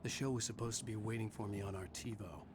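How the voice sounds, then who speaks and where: A man voicing a troubled, weary horror writer, narrating his own story in a brooding, measured way for a video game. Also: on the low side, steady tone, background noise, natural voice. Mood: weary, dry. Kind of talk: scripted first-person game narration.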